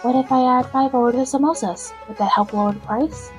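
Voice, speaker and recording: Polite earnest girl voice acting game lines, highish voice, homemade recording w music behind, adult woman. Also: fairly even intonation, brisk, darkish tone. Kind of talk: amateur video game voice acting.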